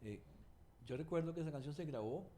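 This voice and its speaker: A man's voice: on the high side, expressive, a little fast, warm tone, slight background noise. An older man from Cuba, a singer-songwriter guest telling rambling anecdotes with many restarts. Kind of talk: anecdotal interview talk.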